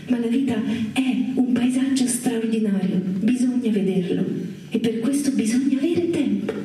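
A woman giving a dramatic literary reading in measured, deliberate phrases with pauses. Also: medium pitch, warm tone. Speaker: performer reading from a book. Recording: roomy, distant mic, echoing space.

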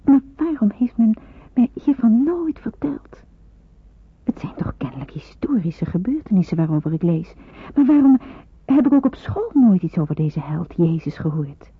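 A woman's voice: medium pitch, very animated, normal speed, slightly rough, no echo. A woman playing an earnest, fervent believer in wartime, delivering a dramatic, intense and reflective radio play monologue. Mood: fervent, questioning. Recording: muffled phone-like old radio play recording.

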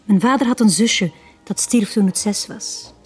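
A woman's voice: medium pitch, normal speed, darkish tone, husky, faint music behind. An actress playing a wistful woman confiding her past in a radio drama, with a Flemish accent and an intimate, near-whispering delivery. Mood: sorrowful. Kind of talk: 1980s Dutch-language radio drama acting.

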